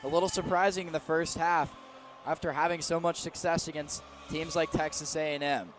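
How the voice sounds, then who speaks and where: A man's voice: a little high, steady tone, brisk. A man giving live football analysis on radio, conversational and free with his opinions. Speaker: color commentator. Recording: faint stadium noise behind.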